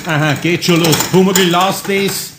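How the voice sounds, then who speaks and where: An actor playing a good-humoured older master carpenter with a Bavarian accent, homely and conversational, in a radio play. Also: male voice, high voice, fairly even intonation, a little slow, noisy background. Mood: flustered. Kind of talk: German radio play acting.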